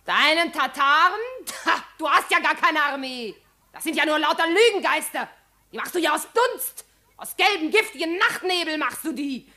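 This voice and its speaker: An actress playing a defiant, scornful sorceress in a theatrical radio play, declaiming as if casting spells. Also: female voice, sits high, lively intonation, moderate pace, bright, forceful tone. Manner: incantatory, declamatory delivery. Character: menacing sorceress woman conjuring the dead, defiant and scornful.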